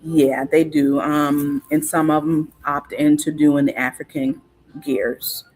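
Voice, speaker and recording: A woman, a guest in a casual interview, explaining things in an unhurried, informative way with frequent fillers and restarts. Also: low voice, level tone, darkish tone, soft background music, poor audio. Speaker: guest on cultural topics.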